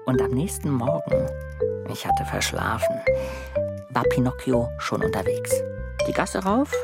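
A man narrating a children's radio play as its storyteller, with a gravelly voice. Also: mid-range voice, animated, unhurried. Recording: loud music behind the voice.

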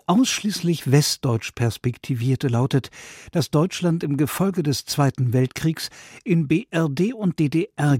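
Husky man reading quotes aloud. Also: on the high side, darkish tone, no echo, clean studio sound. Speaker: radio reader voicing quoted book passages. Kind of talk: dramatic reading of quotations.

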